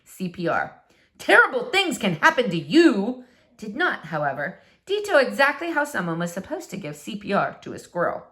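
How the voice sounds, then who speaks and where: A woman narrating a children's storybook aloud with expressive, dramatic, deliberate phrasing. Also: mid-range voice. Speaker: storybook reader.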